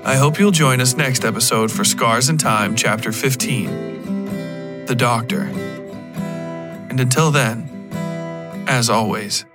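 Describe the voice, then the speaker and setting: A man reading scripted narration for a dark fiction podcast in a measured, dramatic storytelling style. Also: mid-range voice. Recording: music bed behind voice. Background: plucked guitar music.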